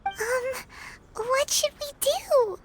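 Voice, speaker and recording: An actress voicing a tiny, childlike fairy companion in a game, squeaky, anxious and hesitant. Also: female voice, expressive, extremely slow, noisy background, exaggerated voice. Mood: worried. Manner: hesitant, worried. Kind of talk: game cutscene voice acting.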